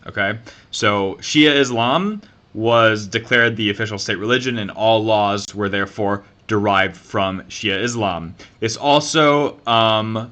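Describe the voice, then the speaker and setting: Casting: young Southern US man, bright forward tone, relaxed YouTube explainer with lots of ums. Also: mid-range voice. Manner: chatty, conversational, many ums and uhs. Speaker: YouTube channel host. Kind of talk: casual history commentary.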